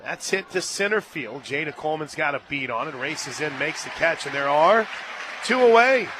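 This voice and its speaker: A man calling a live softball game on radio from a stadium booth, climbing into excited shouts on big plays and laughing easily. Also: on the high side, normal speed, a crowd in the background, noisy background. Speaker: radio play-by-play announcer. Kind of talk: live radio sports play-by-play.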